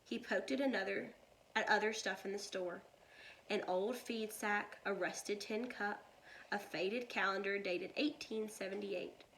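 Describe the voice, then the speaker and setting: A woman reading a children's story aloud like a teacher, with deliberate, expressive delivery. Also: medium pitch, gravelly, a little hiss or hum, poor audio.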